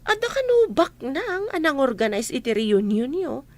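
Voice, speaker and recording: Actress doing a chatty gal friend, coaxing, voice on the high side, lots of swing, drama style. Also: female voice, very animated, moderate pace, no room sound. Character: chatty, coaxing woman friend spreading reunion news. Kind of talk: Ilocano audio drama acting.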